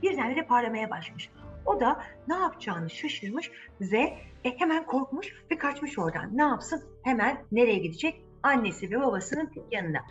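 Animated woman storyteller, slightly muffled recording. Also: on the high side, normal speed, faint music behind. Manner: expressive, addresses children directly, repeats words for emphasis. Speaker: children's storyteller, channel host. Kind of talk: animated fairy-tale narration for children.

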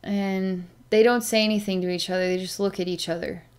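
Middle-aged female animal communicator, medium pitch, meditative and halting, narrating a session as it unfolds. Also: steady tone, smooth. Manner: halting, meditative, with long pauses and sighs. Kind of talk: slow narration of a psychic session with pets, thinking aloud. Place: small plain room indoors.

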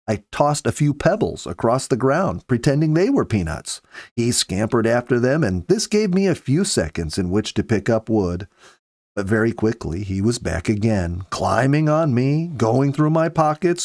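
A man in his fifties reading a story aloud with earnest, measured, dramatic phrasing, close to a studio microphone. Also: mid-range voice, darkish tone, dry recording. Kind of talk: expressive audiobook-style story narration. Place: small home study, indoors. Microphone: close studio mic with pop filter.

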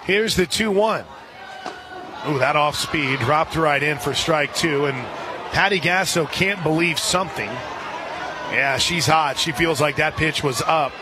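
A man doing live radio play-by-play of a softball game in a conversational way, with folksy asides. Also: a little high, a little slow. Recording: crowd noise and music behind. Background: ballpark crowd and music.

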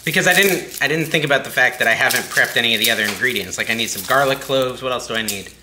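A chatty, excitable man in his thirties talks through a recipe in his home kitchen, his voice slightly worn as he is losing it. The sound comes from a backup mic at a distance, roomy and echoey with a steady noisy hiss under it. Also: mid-range voice, fast talker. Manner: chatty, self-deprecating, excitable. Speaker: cooking channel host. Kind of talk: casual cooking vlog. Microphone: backup camera mic at a distance.

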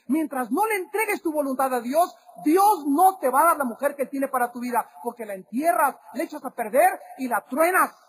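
Man preaching in a high voice. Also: slight background noise. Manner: impassioned, rising to shouts. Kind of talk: sermon.

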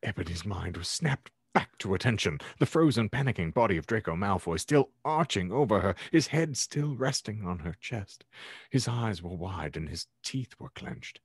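A man with a very deep, husky voice narrates a story like a stage piece. His delivery is theatrical and animated, recorded dry and close on a podcast microphone. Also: normal speed, no room sound. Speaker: podcast host. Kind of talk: dramatic reading of fan fiction. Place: home room, on a video call.

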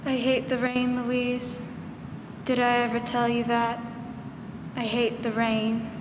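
A woman's voice: fairly high pitch, completely flat delivery, slow pace. A woman acting a strange, fearful, childlike and stubborn older farm sister in a 1940s-style radio drama. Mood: brooding. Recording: roomy stage hall, faint noise.

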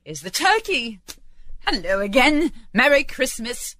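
A woman voicing a reformed old miser, a giddy and kindly old gentleman who speaks in effusive exclamations. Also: high voice, very animated, unhurried, no room sound, clean studio sound, pitched above the performer's own voice, exaggerated voice. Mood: earnest, warm.

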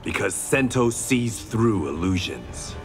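Low-voiced, calm young swordsman. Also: takes it slowly, over loud music, full character voice. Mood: resolute. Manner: composed, firm.

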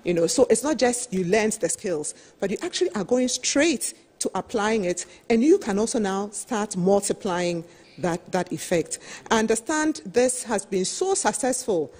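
A middle-aged woman with a slightly husky voice, giving an emphatic, explanatory business presentation as a panel speaker, often saying "you know". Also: medium pitch, lively intonation, normal speed, a little mellow. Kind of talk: business presentation at a televised roundtable. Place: television studio, seated at a desk.

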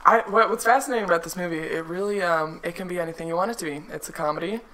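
Female co-host from Sweden, dry deadpan humor, sharp bright tone. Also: lowish voice, rapid-fire, low-quality recording. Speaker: comedian co-host. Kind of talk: casual film review chat.

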